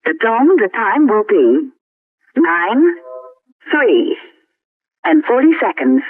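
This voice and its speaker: Woman, speaking clock, very slow measured phrases, swooping intonation, thin telephone sound. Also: on the high side, sing-song, dry recording. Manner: measured, formulaic delivery. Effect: telephone line. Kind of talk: recorded time announcement. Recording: thin, phone-like time-signal tape.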